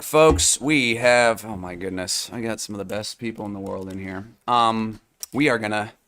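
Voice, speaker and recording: Hoarse man, young adult, low voice, chatty livestream host, upbeat, loose with fillers. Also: fairly bright tone, slight background noise. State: hoarse, losing his voice. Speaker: live card-break host. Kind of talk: livestream sales chat.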